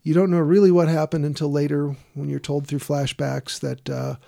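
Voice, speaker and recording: Gravelly, mellow-voiced man recapping a film. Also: fairly high pitch, level tone, moderate pace. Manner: rambling, wry, laughs often, trails off with fillers. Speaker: podcast co-host recapping a film. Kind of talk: casual comedic chat.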